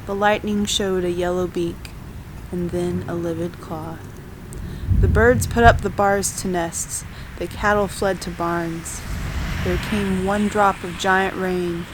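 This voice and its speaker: Slow, even-toned woman reciting poetry over noise. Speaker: poetry reader. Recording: clearly noisy, ambient background rumble.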